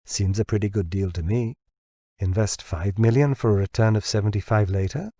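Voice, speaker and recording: Shrewd, insistent male researcher pitching to the boss, as a male narrator reads him, lower voice, audiobook dialogue. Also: mellow, dry recording, clean studio sound. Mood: calculating. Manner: deliberate, insistent salesmanship.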